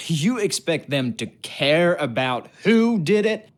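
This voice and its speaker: An actor playing a gruff, sardonic ship's craftsman in a video game, taunting and bossy in a colloquial, condescending way. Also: fairly high pitch, big pitch swings, takes it slowly. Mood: bitter, scornful. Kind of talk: video game character acting.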